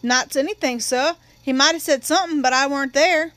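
Woman voicing a frightened, polite witness man, pitched high. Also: fairly bright tone, dry recording. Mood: polite, uneasy. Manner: deferential, halting, earnest. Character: frightened, polite young Southern working man on trial.

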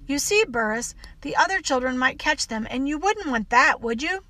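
A woman's voice: high voice, lively intonation, moderate pace, pushed and bright. A woman voicing a prim, squeamish schoolteacher in an audiobook story, proper and fussy in manner. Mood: prim, polite.

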